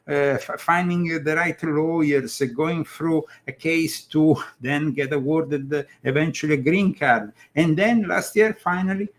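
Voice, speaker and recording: Halting Italian-accented male talk host. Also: fairly high pitch. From Italy. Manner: emphatic, halting with many fillers and restarts. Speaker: show host. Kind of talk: political commentary talk show.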